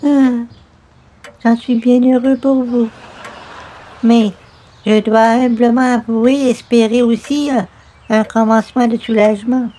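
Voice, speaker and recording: A man's voice: very high voice, steady tone, a little slow, pauses often, warm tone, pitched above the performer's own voice, low-quality recording. A man voicing a meek, courteous, solemn religious caller in a radio comedy, formal and humble. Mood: meek, mournful. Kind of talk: old-time radio comedy acting.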